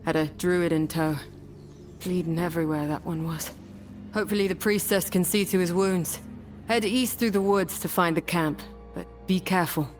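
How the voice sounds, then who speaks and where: An actress playing a hesitant, plain-spoken camp guard in a fantasy game, with folksy phrasing. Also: female voice, lowish voice, normal speed, faint music behind, close to an everyday voice. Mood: uneasy, helpful.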